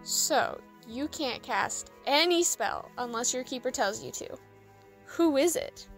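A woman playing an eager, outspoken young female dragon, blunt and expressive, in an audio drama. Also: high voice, takes it slowly, faint music behind, full character voice. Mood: curious.

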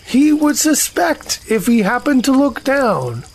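A woman playing a slow, dreamy, honey-loving bear in an audio drama, musing and ponderous. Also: high voice, sing-song, breathy edge, full character voice. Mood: pondering.

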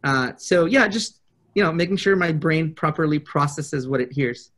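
A man in his thirties talks on a video call from a small office. His voice sits a bit high for a man. He picks his words deliberately and states them bluntly, in a conversational way. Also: lively intonation, fairly bright tone. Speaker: prospective coaching client, public speaker. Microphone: video call, computer microphone.

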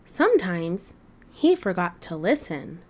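A woman narrating a children's bedtime storybook in a deliberate, animated read-aloud manner. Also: on the low side. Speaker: children's book reader.

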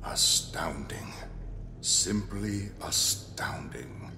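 A man playing an imperious, contemptuous and power-hungry dark lord in an audio drama, speaking deliberately with menace. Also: deep voice, creaky, in a whisper, full character voice. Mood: awed, menacing. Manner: slow, deliberate, condescending.

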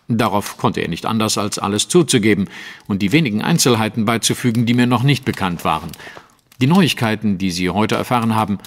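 An actor playing a composed, commanding Victorian detective in a German audio drama, speaking precisely and with theatrical authority. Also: male voice, fairly low pitch, in a large hall, slight background noise. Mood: calm, certain. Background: music.

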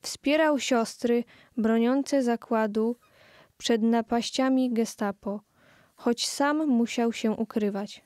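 A woman's voice: a little high, slow, darkish tone, no room sound, clean studio sound. A woman reading scripted narration for a historical radio play.

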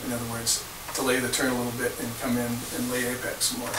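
A man's voice: medium pitch, monotone, fast talker. A middle-aged man co-hosting a casual chat, chatty and agreeing, recorded from a distance in an office room. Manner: chatty, backs others up. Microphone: far camera mic. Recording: roomy, distant, faint hiss.

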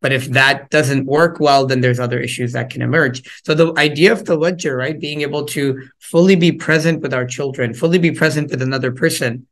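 A man giving an interactive workshop from home over a video call, chatty and searching, with plenty of fillers and restarts. He throws questions to his listeners and picks up on their answers. His voice sits at an ordinary middle pitch through a laptop mic. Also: steady tone, fairly quick, few pauses, fairly bright tone. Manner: conversational, engaging the audience with questions; frequent fillers and repeated words. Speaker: workshop presenter. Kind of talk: interactive parenting workshop talk.

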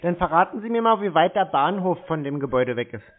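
A man's voice: fairly high pitch, lively intonation, quick pace. A man talking insistently on the phone, challenging the person on the other end in a confrontational conversation. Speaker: scam-baiting caller. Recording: tinny narrowband phone line.

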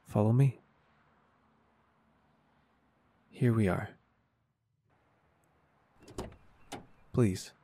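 A man's voice: on the low side, creaky, warm tone, husky, no room sound, clean studio sound, exaggerated voice. A man playing a caring, flirty and confident business owner in an intimate ASMR roleplay, speaking in a reassuring, polite and earnest way. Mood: reassuring, protective.

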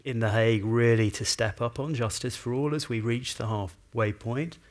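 Measured male panel moderator. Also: medium pitch. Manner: measured, posing questions with restarts. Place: conference room.

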